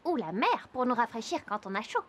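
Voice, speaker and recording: High, lively cartoon sea turtle. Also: female voice, a little fast. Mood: boastful. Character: Paula, boastful but sweet female sea turtle. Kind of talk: children's cartoon acting.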